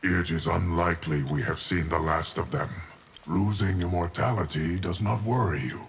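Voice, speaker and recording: A man playing a solemn, stern immortal guardian of time in a video game, speaking formally in a deep, gravelly voice. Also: moderate pace, slight background noise. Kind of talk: video game character dialogue.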